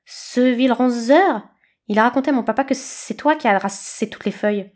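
A woman voicing an earnest, naive little male snake in a storybook, slow and hissing. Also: on the high side, voice pushed up, natural voice. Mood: puzzled.

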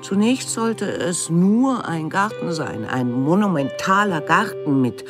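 An actress playing a woman sculptor who recalls her life in measured, articulate radio drama narration. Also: fairly low pitch, lively intonation, over music. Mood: matter-of-fact recollection. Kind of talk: scripted first-person radio drama narration, translated voice-over.

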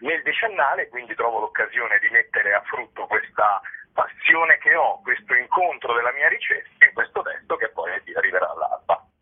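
Male interview guest, raspy voice, rhetorical and explanatory, heard over a narrow phone connection. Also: mid-range voice, animated, moderate pace. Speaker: playwright and director, interview guest. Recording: tinny, narrow telephone line.

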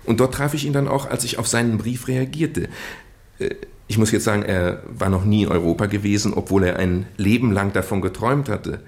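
A man acting in a German radio drama as a British gentleman nephew, earnestly and haltingly confessing a well-meant deception in genteel, roundabout phrases. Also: lowish voice, vocal fry. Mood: earnest, reminiscing. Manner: hesitant, roundabout, genteel storytelling with stammered repeats.

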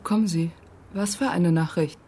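A woman playing a shattered, stubborn mother in a radio drama, speaking haltingly in a husky voice that often drops near a whisper. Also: on the low side, warm tone, slight background noise, natural voice. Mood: numb insistence. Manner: halting, short numb sentences. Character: shattered mother told of her daughter's death, stubborn, disbelieving. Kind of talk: literary radio drama acting.

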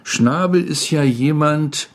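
A man's voice: fairly high pitch, slow pace. An older man telling a story in an interview, with emphatic, dramatic phrasing. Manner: dramatic present-tense phrasing. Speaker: interviewee, former radio feature editor.

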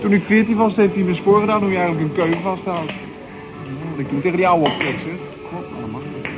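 Man playing high-pitched streetwise pub regular. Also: fairly quick, music in the background, natural voice, low-quality recording. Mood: amused. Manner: chatty, bantering, colloquial. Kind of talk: naturalistic radio drama dialogue.

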